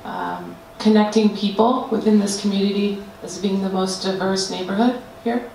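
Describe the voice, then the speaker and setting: A woman's voice: medium pitch, fairly even intonation, moderate pace, gravelly, darkish tone. A woman giving a student group design presentation, hesitant, with frequent fillers and restarts. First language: not Danish. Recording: echoey room, mic far off, faint noise.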